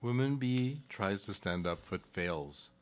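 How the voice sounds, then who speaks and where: A man's voice: on the low side, expressive, takes it slowly. A man narrating a live radio play, reading scripted stage directions.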